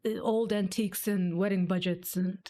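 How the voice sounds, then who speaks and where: A woman's voice: medium pitch, steady tone, normal speed, dark tone. A woman streamer giving casual, sceptical commentary on a game, sardonic and free with swearing. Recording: game music behind the voice.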